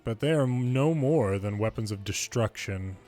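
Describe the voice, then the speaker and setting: A man's voice: lowish voice, animated, moderate pace, a little mellow, soft background music. A man reading video game dialogue aloud in English as the narrator of a longplay.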